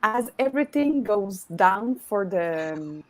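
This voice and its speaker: A woman from Montreal with a Québécois French accent, whose first language is French, speaking haltingly as a panelist on a video call. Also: medium pitch, lively intonation. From Montreal, Canada. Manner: hesitant, halting, with restarts and laughs. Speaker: panelist, image consultant.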